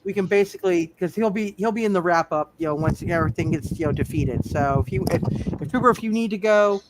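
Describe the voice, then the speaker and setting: A man with a high-pitched voice runs a tabletop game as game master. He speaks casually and haltingly, stammering and restarting phrases while he works through the rules. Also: noisy background. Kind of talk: casual live tabletop superhero game talk, working out rules.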